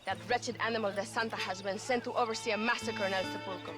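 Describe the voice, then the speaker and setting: A woman's voice: mid-range voice, steady tone, fast, music in the background, natural voice. An actress playing a passionate, earnest young Mexican woman revolutionary in a video game, speaking formally and emphatically with a Mexican accent. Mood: urgent, determined. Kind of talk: dramatic video game cutscene acting.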